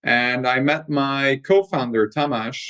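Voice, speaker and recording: A man talks through his company's story at an ordinary pitch. He explains things fluently and at length, sometimes repeating a word as he restarts. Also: measured pace, a bit bright. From Hungary. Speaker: company co-founder and guest. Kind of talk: conversational interview answers. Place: company office.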